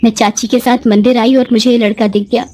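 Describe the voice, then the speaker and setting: Woman as earnest young village girl, high voice. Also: level tone, a little fast, a little hiss or hum, natural voice. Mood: shocked, worried. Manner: soft-hearted, earnest, respectful. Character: gentle, dutiful young village cousin, earnest and worried.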